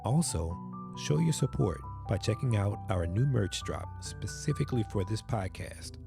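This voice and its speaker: Guy with a really low voice doing a scripted podcast outro, music playing behind him. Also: animated, normal speed, creaky. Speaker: podcast announcer. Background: music under the voice.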